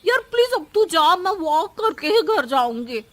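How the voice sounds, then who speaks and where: A woman's voice: very high-pitched, very animated, measured pace, fairly bright tone, voice pushed up, exaggerated voice. A woman voicing an annoyed young female friend in a cartoon story, complaining in exasperation. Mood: exasperated.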